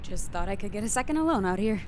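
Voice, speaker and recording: Actress as troubled space-bar owner: medium-pitched, halting, guarded, faint hum behind her. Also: expressive, darkish tone, lightly acted. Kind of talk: sci-fi radio drama acting.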